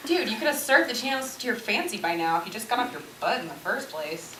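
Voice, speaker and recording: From across an echoey space, a woman plays a sarcastic young woman on stage. Her pitch swings widely as she teases with mock-patient explanations. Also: big pitch swings, quick pace, bright, forceful tone. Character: Natalie, sarcastic, teasing, quick-witted young woman. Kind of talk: amateur stage play acting. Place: indoor stage, recorded at a distance. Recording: echoey distant stage recording, faint noise.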